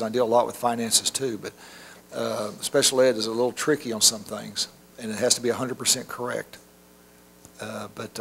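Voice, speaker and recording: Halting middle-aged man at a podium. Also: mid-range voice, gravelly, slight background noise. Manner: informal, halting with many restarts. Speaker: state monitor addressing a school board. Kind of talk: public meeting remarks. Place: school board meeting room. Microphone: podium microphone.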